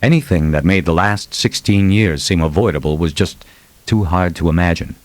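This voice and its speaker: A man's voice: sits low, moderate pace, no room sound. A man narrating fiction as a scripted, dramatic audiobook reading.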